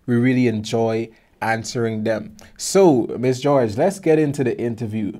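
A man's voice: medium pitch, normal speed, warm tone. A young man from Guyana with a mixed Caribbean accent, hosting a podcast interview in a small studio on a desk mic, joking and laughing easily. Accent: Caribbean English, mixed Guyanese and Bahamian. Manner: joking, laughs often, easygoing. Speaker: podcast host. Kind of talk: casual interview chat. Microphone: studio mic on stand.

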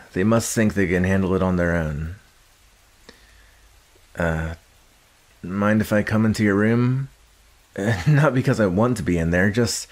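A young man playing a gruff, guarded but secretly caring villain in an ASMR roleplay, speaking haltingly with sighs and grudging tenderness. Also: on the low side, close to an everyday voice. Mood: concerned, awkward. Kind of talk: ASMR roleplay addressed to the listener.

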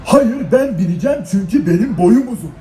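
A man's voice: sits high, animated, brisk, warm tone. A man playing a tall, silly, food-loving sidekick with a childish, exaggerated manner in a children's fairy-tale stage show. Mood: childishly stubborn. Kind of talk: children's stage musical acting. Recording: echoey hall stage sound over music.

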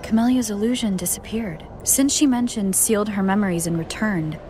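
An actress playing a thoughtful, level-headed young woman adventurer musing to herself in a video game, measured and pensive. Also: medium pitch, noisy background, natural voice. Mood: pensive, concerned. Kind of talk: game inner monologue.

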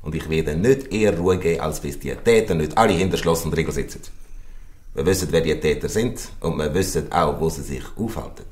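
A stern, pompous town mayor in a Swiss German radio play. The voice is low and sounds in an echoing room, full of self-important authority. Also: male voice, fairly quick, a little mellow. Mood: stern, official. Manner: pompous, official. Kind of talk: audio drama dialogue in dialect.

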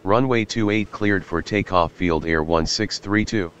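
Low-voiced businesslike airline pilot voiced by a man. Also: measured pace, a bit bright, dull audio. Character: businesslike male airline pilot answering the tower. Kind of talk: clipped aviation radio readbacks. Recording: aircraft noise behind.

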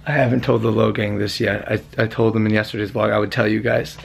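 An American man in his early twenties chatting casually in slangy, animated vlog style with a strained, hoarse voice, filmed at arm's length indoors. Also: lowish voice, fast, a little hiss or hum. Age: early 20s. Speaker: vlogger. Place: apartment rooms, indoors. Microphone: camera at arm's length.

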